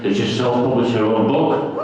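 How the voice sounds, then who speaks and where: A man hosting a live event, introducing the next speaker in a flat announcing tone. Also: medium pitch, moderate pace, loud music behind the voice. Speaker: event host. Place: live event venue indoors. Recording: noisy crowded echoey hall, distant mic. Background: loud crowd.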